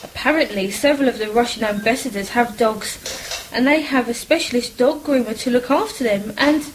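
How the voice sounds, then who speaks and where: An actress as a high-voiced, genteel English housewife. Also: female voice, normal speed, natural voice, rough recording. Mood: interested. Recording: faint background noise.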